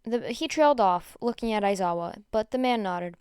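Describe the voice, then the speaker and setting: A woman narrating a fan fiction story aloud with expressive delivery. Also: medium pitch, normal speed, clean tone, no room sound, clean studio sound.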